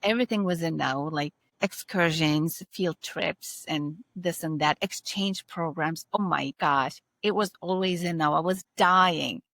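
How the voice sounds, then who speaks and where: A lowish-voiced woman in her forties speaks with a Turkish-German accent. She talks casually and emphatically, often repeating a point. Her voice is close and dry, with no room sound. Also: unhurried, hardly any pauses, no echo. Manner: animated, emphatic, repeats herself. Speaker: podcast host. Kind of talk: casual podcast conversation.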